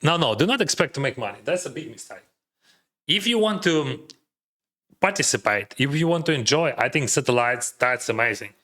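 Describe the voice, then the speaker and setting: A Ukrainian-accented man whose first language is Russian, talking through poker hands live for his chat. His voice is bright and forward, his pitch sits mid-range, and he rambles along in easy, filler-heavy advice. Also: animated, normal speed. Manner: chatty, advising, heavy use of "like" and "uh". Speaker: poker streamer. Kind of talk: casual live-stream commentary, answering chat.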